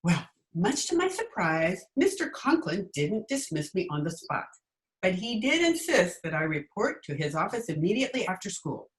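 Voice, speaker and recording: Woman acting a wry, lively schoolteacher. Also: on the low side, normal speed, poor audio. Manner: dry, wisecracking. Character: wry, quick-witted female schoolteacher. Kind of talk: old-time radio comedy acting and narration. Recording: remote home recording over video call.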